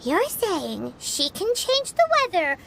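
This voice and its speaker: A woman voicing a tiny, childlike, excitable fairy companion in a video game, with a squeaky cartoonish voice. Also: slow pace, creaky, slight background noise, full character voice. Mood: incredulous. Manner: exclamatory, refers to self by name.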